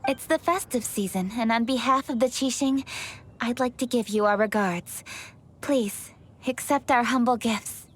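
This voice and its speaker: A woman's voice: on the high side, a little slow, breathy edge, long reverb tail. A woman playing a poised, confident young official in a video game, speaking formally and politely. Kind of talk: video game cutscene acting.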